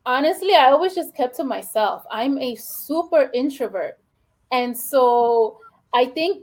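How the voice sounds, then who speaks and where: A woman's voice: fairly high pitch, low-quality recording. A woman from the Bronx with a New York Puerto Rican accent, a guest educator speaking earnestly and reflectively, filling her talk with "you know" and "like". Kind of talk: conversational interview.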